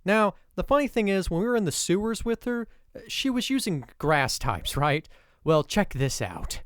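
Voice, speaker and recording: A young man hosting a let's play with casual commentary, his voice a little off from allergies, who swears and rants in sudden excited bursts. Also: high-pitched, moderate pace, clear, resonant tone, dry recording, studio quality. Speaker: gaming video host.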